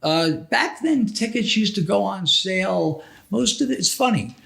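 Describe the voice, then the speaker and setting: A middle-aged man telling stories casually on a podcast, rambling and halting, often repeating phrases as he goes. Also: high-pitched, expressive, creaky, fairly bright tone. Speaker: podcast host.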